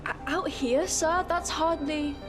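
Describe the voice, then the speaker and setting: High-voiced, timid young Victorian woman. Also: steady tone, a little slow, music in the background. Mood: timid, awed. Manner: stammering, meek, polite. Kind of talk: audio drama acting.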